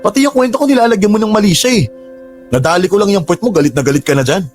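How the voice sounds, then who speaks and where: A young Filipino boyfriend in a radio drama, exasperated yet loving. His fairly high voice rises and falls dramatically as he protests, over a light music bed. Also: expressive, racing. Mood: defensive, exasperated. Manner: emotive, protesting. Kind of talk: Tagalog radio drama acting. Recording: music bed under dialogue.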